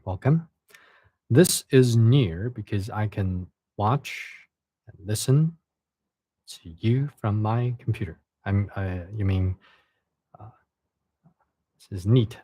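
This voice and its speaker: Halting man. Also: lowish voice, warm tone, slightly rough, dry recording. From China. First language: Chinese. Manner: halting, repeats words and restarts. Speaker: livestream host and reader. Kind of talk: casual livestream chat and reading a novel aloud.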